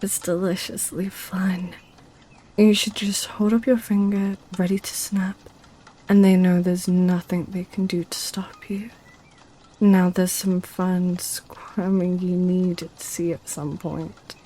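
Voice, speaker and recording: Succubus, female performer: playful, possessive temptress, whispery and unhurried, dark-toned ASMR roleplay. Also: on the low side, level tone. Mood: gleeful, seductive. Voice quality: breathy, whispery. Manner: teasing, coaxing, slow.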